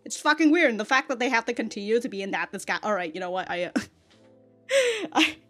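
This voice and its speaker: A woman's voice: medium pitch, lively intonation, fast talker, fairly bright tone, soft background music. An American woman hosting a reaction video with casual, unscripted commentary in an informal, sweary, emphatic way. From United States.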